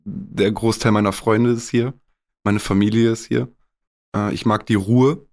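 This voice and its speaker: A man's voice: lowish voice, steady tone, measured pace, gravelly, darkish tone. A young man from northwest Germany, a rapper speaking candidly and casually in a podcast interview, with some swearing and English slang.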